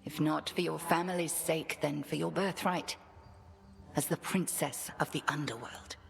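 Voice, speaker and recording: An actress playing a proud, stern witch titaness mentor in a video game, speaking in a grand, measured, archaic way. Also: low-pitched, fairly even intonation, creaky, slight background noise. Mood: grave.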